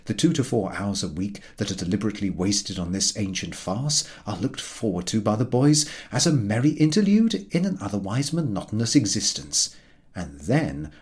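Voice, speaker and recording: A man narrating a comic literary story in a formal, measured and expressive storytelling manner. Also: lowish voice. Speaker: audiobook narrator.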